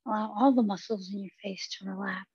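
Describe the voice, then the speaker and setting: A woman teaching meditation, leading a guided body scan with gentle, unhurried instructions and long pauses. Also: mid-range voice, steady tone, breathy edge, dry recording, dull audio.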